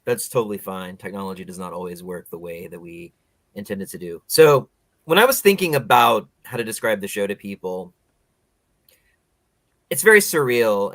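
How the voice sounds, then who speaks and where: A man's voice: lowish voice, fairly bright tone, no echo. A man hosting a podcast, talking with guests in a casual, conversational interview style.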